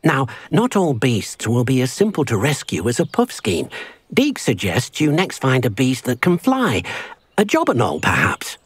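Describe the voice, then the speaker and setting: Gentle, husky old house-elf. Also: male voice, medium pitch, huge pitch swings, normal speed, no room sound. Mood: helpful. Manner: speaks of himself in the third person. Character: gentle, earnest elderly house-elf, small male servant creature. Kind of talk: scripted video game character acting.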